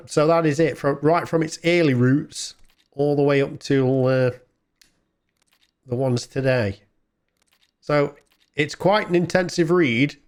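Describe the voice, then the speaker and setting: A man hosting a retro gaming video, talking casually and informally off the cuff in a rambling, chatty way. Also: on the high side. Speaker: host of a retro gaming channel. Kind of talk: casual off-the-cuff gameplay commentary.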